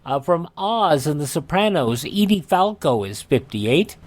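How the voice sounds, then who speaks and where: A man's voice: fairly high pitch, very animated, a little slow. A man with an American accent hosting a radio show and presenting items in a scripted but informal way. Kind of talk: presenting a this-day-in-history segment.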